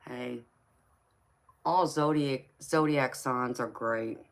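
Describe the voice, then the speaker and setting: Slow, easygoing woman in her forties. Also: extremely low pitch. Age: 40s. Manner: easygoing, answers viewer comments by name. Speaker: tarot reader. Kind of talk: casual live-stream chat with viewers.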